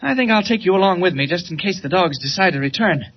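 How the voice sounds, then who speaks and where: A muffled, noisy old radio serial recording carries a brave American reporter hero. His voice is high for a man and swings widely, brisk and emphatic yet reassuring. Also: lively intonation, slightly rough, full character voice. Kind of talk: vintage radio serial acting.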